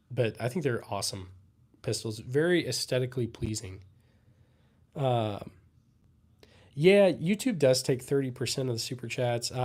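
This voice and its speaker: A young man who is sick and losing his voice, casually chatting and answering viewers' questions on a livestream. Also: medium pitch. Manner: relaxed, rambling, answers chat comments. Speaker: livestream host. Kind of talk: casual live Q&A chat.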